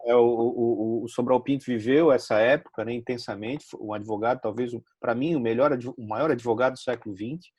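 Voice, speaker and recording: A Brazilian man giving recommendations on a talk show, halting and repeating words as he speaks. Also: mid-range voice, fairly even intonation, a little mellow, slightly rough, no room sound. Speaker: regular guest giving tips. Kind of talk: casual discussion show.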